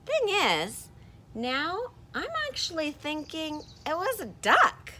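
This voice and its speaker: An excitable storybook character acted out by a woman, argumentative and emphatic. The pitch jumps high and swoops in an exaggerated singsong, over some background noise. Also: slow pace, heavily acted.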